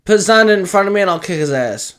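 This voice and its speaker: A man's voice: high voice, expressive, quick pace, smooth, pitched above the performer's own voice. A man voicing brash but tender young male rangers in story dialogue, informal and emotional. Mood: brash, teasing.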